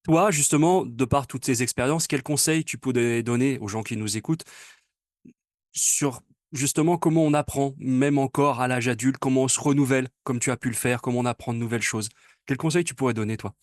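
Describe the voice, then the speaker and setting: Casting: male interview host, mid-pitched voice in a dry room, casual phrasing with repeated openings. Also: fairly quick, darkish tone, no echo. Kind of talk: conversational interviewing.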